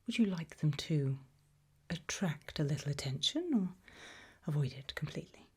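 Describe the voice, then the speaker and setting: A woman playing a polite, attentive tailor to monsters in an ASMR roleplay, speaking in a soft, breathy, unhurried whisper with a reassuring manner. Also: low-pitched, lively intonation, heavily acted. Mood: soft, courteous. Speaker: ASMR performer.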